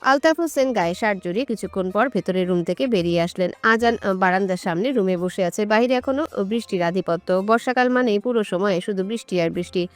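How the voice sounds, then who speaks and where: Woman narrating a story over soft music. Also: mid-range voice, fast talker, few pauses, dry recording. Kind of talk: scripted romantic story narration.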